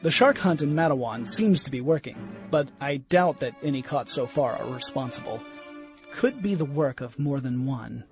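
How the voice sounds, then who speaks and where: A man playing an earnest shark researcher of the 1910s, reading his field journal aloud in a measured, formal, analytical way for a radio play. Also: medium pitch, lively intonation, faint music behind, natural voice. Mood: grave, analytical. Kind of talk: radio play diary monologue.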